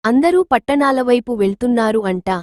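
Woman playing a worried farmer's wife, higher voice. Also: animated, fairly quick, a little mellow, dry recording, clean studio sound, natural voice. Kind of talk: scripted moral story dialogue.

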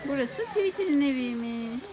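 Woman, fairly high register, casual guide talking to a child, explanatory and questioning; noisy outdoor park recording. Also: expressive, moderate pace. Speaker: adult guiding a child through a park.

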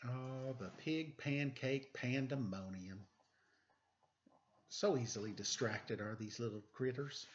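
A man's voice: mid-range voice, slow. Middle aged guy doing kids storytime, goofy joking asides, kinda muffled webcam audio w a bit of noise. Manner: playful, animated, self-deprecating. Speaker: storytime reader. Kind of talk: children's read-aloud with casual, joking asides. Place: indoors, room at home. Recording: dull webcam audio, noisy background, clicks.

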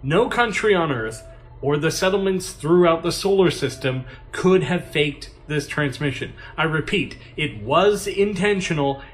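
A man's voice: on the high side, animated, unhurried. A man playing an earnest space agency chief in an audio drama, giving a formal, precise briefing. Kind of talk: science fiction audio drama acting.

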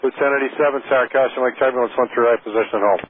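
Fast clipped male air traffic controller on radio. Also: mid-range voice, fairly even intonation. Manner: clipped, procedural phrasing. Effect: radio transmission. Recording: narrowband radio audio with faint static.

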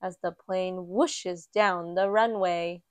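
A woman reading a children's picture book aloud in a gentle, expressive storytime voice. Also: on the low side, dry recording. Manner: slow, gentle and expressive, lifting for quoted lines. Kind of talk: read-aloud storytime narration. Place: indoors at a table, book filmed from above.

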